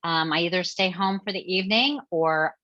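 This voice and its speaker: A woman's voice: on the low side, a little fast, bright, forceful tone, dry recording. A woman success coach casually answering personal questions as a guest in a chat. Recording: slightly dull, dry call audio.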